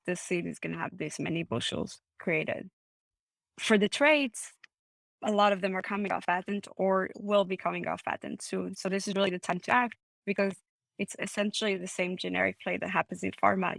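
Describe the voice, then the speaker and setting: A woman in her thirties from Israel, a startup CEO explaining her business clearly on a video call. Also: fairly low pitch, a bit bright, no room sound, poor audio. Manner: articulate, explanatory. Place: home room, indoors.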